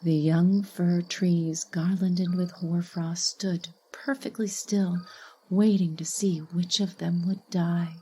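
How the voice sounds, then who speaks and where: A woman narrating a literary short story expressively, in a measured way with breathy, hushed phrases. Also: fairly low pitch, level tone, poor audio. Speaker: audiobook narrator.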